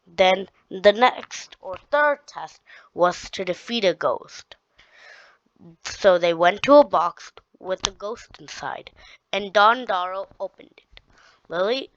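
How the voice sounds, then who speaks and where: A woman reading her own story aloud, halting often and restarting her sentences. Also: medium pitch, fairly bright tone, dry recording. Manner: halting, with frequent restarts and repeated words. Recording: dull, dry lo-fi recording.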